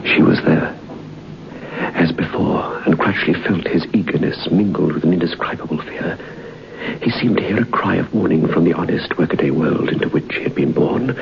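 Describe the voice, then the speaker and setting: A man narrating a suspenseful story in measured, scripted style for an old radio drama. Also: deep voice, creaky. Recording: muffled old radio transcription with faint hiss.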